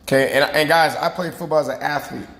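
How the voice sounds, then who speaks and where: A man with a raspy, hoarse voice, a fitness coach talking casually and earnestly to his viewers, often checking in with "right?" and "okay?". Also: on the high side, fairly even intonation, a little fast, fairly bright tone. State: hoarse after boot camp. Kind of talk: casual talk to camera. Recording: roomy recording.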